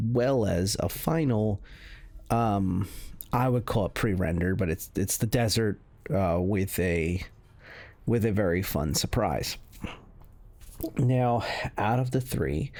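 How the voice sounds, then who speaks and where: A man giving casual, unscripted commentary as a gaming video creator, explaining things in a halting way. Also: deep voice, creaky, darkish tone.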